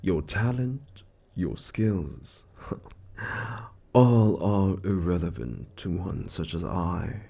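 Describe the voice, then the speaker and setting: Suave, menacing male devil, audio drama. Low register with big swoops, theatrical, smugly condescending mock courtesy. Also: lively intonation, takes it slowly, gravelly, mellow, breathy edge, exaggerated voice. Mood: smug taunting. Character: suave, smug male devil, menacing soul-contract broker in Hell. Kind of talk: scripted audio roleplay monologue.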